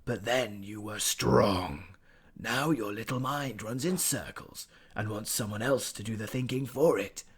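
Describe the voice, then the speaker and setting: The eerie rat voice Spider. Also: male voice, fairly low pitch. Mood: menacing. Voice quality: hoarse whisper. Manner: slow, menacing, distant. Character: sinister whispering rat king voice, male.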